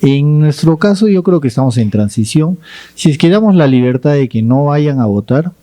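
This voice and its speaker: A man from Peru on a debate panel, explaining his position with halting restarts. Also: mid-range voice, mellow.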